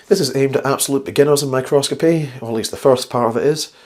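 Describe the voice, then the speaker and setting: A man with a slightly croaky, flu-worn voice hosts a casual instructional science vlog, chatty and rambling with wry, self-deprecating asides. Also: medium pitch. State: getting over the flu. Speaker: host of a science video series.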